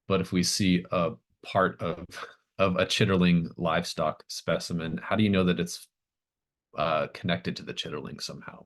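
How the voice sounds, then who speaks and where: A man running a tabletop horror game, improvising descriptive narration in a halting, thoughtful manner. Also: low voice. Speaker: game master.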